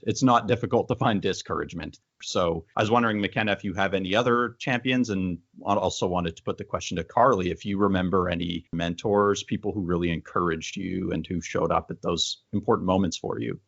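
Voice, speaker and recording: A man asks interview questions in a reflective, conversational way. His voice is low and full of fry. The audio is a bit dull. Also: a little fast, few pauses, fairly bright tone.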